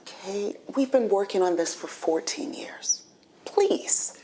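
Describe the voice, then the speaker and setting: A woman's voice: medium pitch, lively intonation, takes it slowly, mellow, in a whisper. An actress playing an impatient, determined woman with a pointed, challenging manner in dramatic game dialogue. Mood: pleading.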